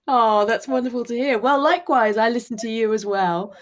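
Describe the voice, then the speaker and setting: A woman's voice: on the high side, steady tone, brisk. Upbeat woman podcast host. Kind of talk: scripted intro, then interview chat.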